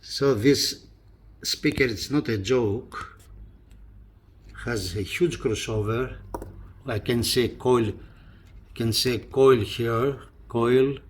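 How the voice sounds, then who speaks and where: Slow-paced man giving a halting product walkthrough. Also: mid-range voice. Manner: halting, repeats phrases as he points things out. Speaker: hi-fi reviewer presenting a loudspeaker. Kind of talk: casual hands-on video commentary.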